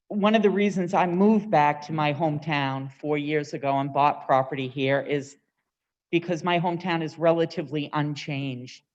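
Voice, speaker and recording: A woman making a loud, firm public comment as a resident at a town meeting. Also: deep voice, dull audio. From Maine, USA.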